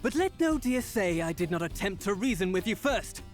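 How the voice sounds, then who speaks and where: An actor playing a pompous, condescending self-styled scholar of golems in a video game, speaking theatrically. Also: male voice, sits high, very animated, moderate pace, faint music behind. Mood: exasperated, pompous. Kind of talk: video game character acting.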